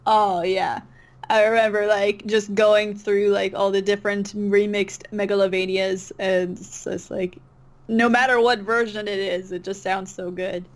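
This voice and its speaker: A woman's voice: medium pitch, little pitch movement, measured pace. A woman chatting casually as an interview guest, agreeable and hesitant, often trailing off. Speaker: interview guest, online comic dub creator. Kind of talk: casual chat.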